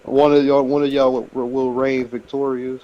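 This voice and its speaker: A man's voice: medium pitch, little pitch movement, normal speed, warm tone, lo-fi sound. A man in casual banter during a video game, slipping into mock-prophetic storytelling. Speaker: gamer in a group let's play.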